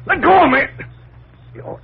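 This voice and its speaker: An actor playing a resentful, menacing and panicky farmhand in a melodramatic old-time radio thriller, on a muffled vintage recording. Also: male voice, a little slow, slight background noise, natural voice. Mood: hysterical, accusing. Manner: melodramatic, intense.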